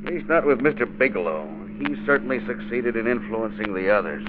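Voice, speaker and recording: A man's voice: medium pitch, expressive, brisk, background noise, close to an everyday voice. A man playing an earnest, formal dental society president in a 1940s radio drama, speaking in an explanatory way. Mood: rueful. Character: earnest, factual male dentist and society president. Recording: tinny, reverberant old radio recording.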